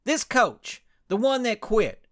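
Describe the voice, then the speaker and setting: An indignant cowboy hero from a comic audio story, acted out by a man. The voice is forceful and lifted high, with a folksy drawl and big swings in pitch. He carries himself like a blustering team leader. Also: sing-song, slow, full character voice. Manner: forceful, shouting.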